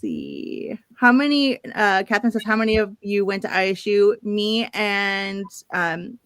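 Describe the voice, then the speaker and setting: A woman's voice: mid-range voice, very animated, unhurried, a bit bright, clear, resonant tone, no echo. A woman from Illinois hosting a casual reading stream, chatting with friends and reading out viewer comments. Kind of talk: casual group chat.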